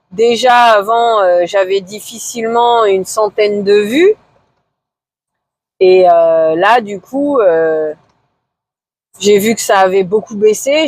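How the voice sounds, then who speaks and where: Slow, hesitant woman vlogger in her forties. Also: no room sound. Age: 40s. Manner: hesitant, many fillers and pauses; low-key, somewhat discouraged. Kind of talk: casual chat to camera.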